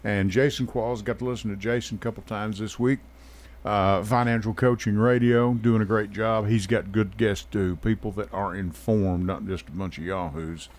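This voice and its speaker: A man's voice: lowish voice, fairly even intonation, moderate pace. Joking man hosting a radio show. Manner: joking, rambling, self-deprecating. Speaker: radio talk show host. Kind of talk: casual radio interview. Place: radio studio.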